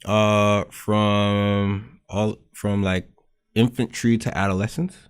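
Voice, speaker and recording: A man's voice: lowish voice, little pitch movement, slow pace, clear, resonant tone. A man co-hosting a casual podcast, blunt and profane, and he repeats his points. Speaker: podcast co-host.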